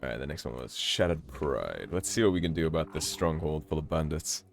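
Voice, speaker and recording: A man with a slightly croaky voice from a sore throat, commentating his gameplay in a casual, wry way. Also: a bass voice, brisk, faint music behind. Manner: relaxed, wry, self-mocking. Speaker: let's play host.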